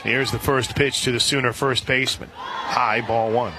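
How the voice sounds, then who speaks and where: A man calling softball play-by-play for radio in a conversational, folksy way, with asides between the calls. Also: mid-range voice, fairly even intonation, normal speed. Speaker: radio play-by-play sports announcer. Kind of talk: live softball commentary. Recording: crowd murmur behind broadcast voice. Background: outdoor stadium crowd.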